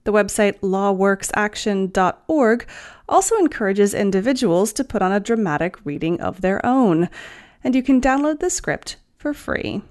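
Female podcast host, scripted news read, very animated intonation, mid-range pitch. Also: normal speed. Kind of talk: scripted entertainment news narration.

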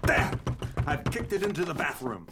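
A man acting in a radio play as a gentleman's loyal, practical companion, speaking in an emphatic, theatrical way. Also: a little high, sing-song, normal speed, background noise. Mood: grim, urgent.

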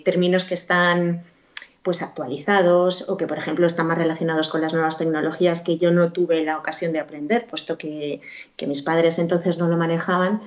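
A woman's voice: lowish voice, darkish tone. A woman guest in a podcast interview, answering thoughtfully with many fillers and restarts. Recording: dull lo-fi audio.

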